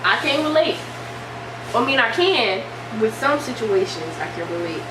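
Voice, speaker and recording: Young woman, higher-pitched voice, casual animated storyteller, recorded from across an echoey room. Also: normal speed, crowd noise. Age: young adult. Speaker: vlogger. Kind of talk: casual chat. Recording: distant mic, echoey room, steady hiss.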